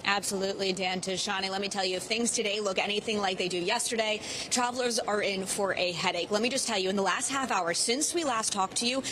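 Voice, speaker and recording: A woman's voice: medium pitch, background noise. A woman delivering a live broadcast news report as a field reporter on location at an airport.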